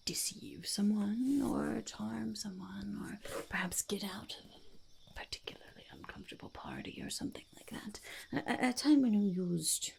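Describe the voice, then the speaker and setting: A woman voicing a quirky, scheming goblin interviewer in a whispered ASMR roleplay, halting and muttering, often repeating phrases. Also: mid-range voice. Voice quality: breathy whisper with heavy creak. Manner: halting, muttering, repeats phrases, speaks of self in third person.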